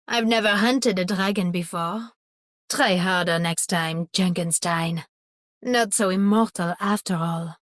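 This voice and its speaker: A woman's voice: mid-range voice, dry recording, clean studio sound, lightly acted. A woman playing a cold, stern hunter defending a gate in a video game, dramatic and commanding. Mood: cold, disdainful.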